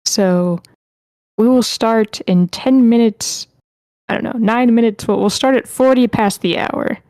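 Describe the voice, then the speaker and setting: Mellow-voiced woman hosting casually. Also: a little high, a little slow, dry recording, clean studio sound. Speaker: host of a live table read. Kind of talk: casual, unscripted hosting talk. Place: online meeting.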